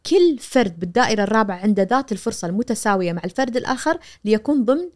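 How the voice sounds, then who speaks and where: A woman in her forties with a Kuwaiti accent, a media professor explaining things animatedly as a guest on a studio podcast, recorded on a boom mic. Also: racing. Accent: Kuwaiti Arabic. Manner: animated, gesturing, acts out quoted voices. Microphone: boom-arm studio mic.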